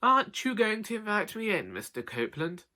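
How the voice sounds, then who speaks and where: A man plays a haughty older woman in a comic story, pitched well above a male voice. She is cocky and condescending, coating every phrase in false concern through pursed lips. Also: sing-song, brisk, bright, forceful tone, exaggerated voice.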